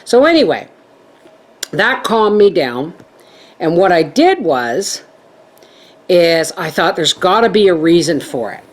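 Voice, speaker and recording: A middle-aged woman chatting to her viewers as a homestead vlogger, telling stories in a lively way. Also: on the low side, takes it slowly, long pauses. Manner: chatty, animated storytelling. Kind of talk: casual chat to viewers.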